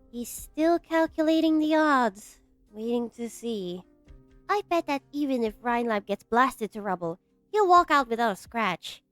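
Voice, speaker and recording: A woman playing a brisk, scheming research director in a game fan dub. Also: fairly high pitch, no room sound, close to an everyday voice. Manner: expressive, brisk.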